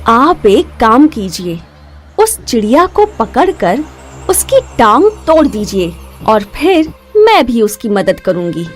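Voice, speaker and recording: A woman's voice: a little high, sing-song, takes it slowly, soft background music, pitched below the performer's own voice. A woman voicing a greedy, scheming village sister-in-law in a cartoon story, with a sly, calculating manner.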